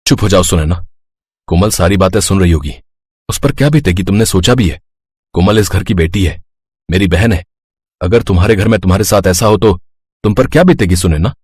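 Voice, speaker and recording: A man's voice: deep voice, animated, a little fast, pauses often, a little mellow, slightly rough, dry recording. A man voicing a stern young husband in a cartoon, speaking firmly. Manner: firm, scolding.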